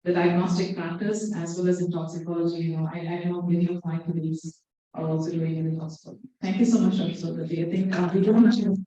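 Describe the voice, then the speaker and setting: A woman moderating a conference panel, soft-spoken and hesitant with fillers. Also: lowish voice, steady tone, quick pace. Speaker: session moderator. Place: conference hall. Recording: echoey hall from far off, dull.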